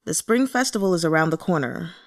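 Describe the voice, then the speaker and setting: A woman voicing a curt, listless male book editor in an audiobook, measured and critical. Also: a little high, expressive. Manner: measured, dismissive. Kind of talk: audiobook character dialogue.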